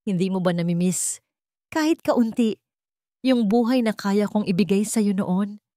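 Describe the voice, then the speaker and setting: A woman with a Filipino accent voicing a gentle, teasing, devoted father in a story. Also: mid-range voice, a little slow, no echo, studio quality, pitched above the performer's own voice, natural voice. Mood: tender, unsure. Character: gentle, playful, devoted young father of five.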